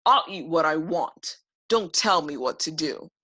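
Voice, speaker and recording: A woman voicing a young male pet dragon from a children's storybook, hot-tempered, gruff and petulant. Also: low-pitched, expressive, measured pace, sharp tone, pitched below the performer's own voice, exaggerated voice. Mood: defiant.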